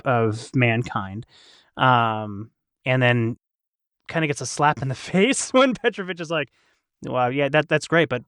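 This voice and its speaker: A man's voice: medium pitch, a bit bright, dry recording, studio quality. A man in a casual panel discussion, thinking aloud as he analyzes and explains. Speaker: cast member in a behind-the-scenes discussion.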